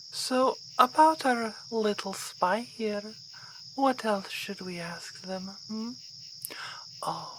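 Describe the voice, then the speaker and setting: A woman playing a poised, amused vampire baroness in an ASMR roleplay, speaking in formal, theatrical phrases. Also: on the low side. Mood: playful, probing. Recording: outdoor ambience with clear insect noise.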